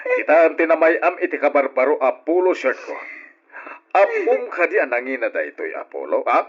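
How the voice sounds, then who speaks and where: A man's voice: on the high side, sing-song, moderate pace, husky. A man voicing a hot-tempered uncle who scolds a maid, in a radio drama. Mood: angry scolding. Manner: scolding and shouting. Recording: dull lo-fi with faint music.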